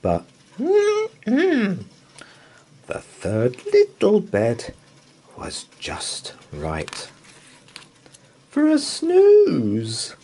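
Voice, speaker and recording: A man reads a children's picture book aloud, slow and husky. His voice leaps wildly up and down in a sing-song way. It is a gentle bedtime reading. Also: high-pitched, huge pitch swings. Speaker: children's story reader. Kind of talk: bedtime story read-aloud. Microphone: camera above a picture book.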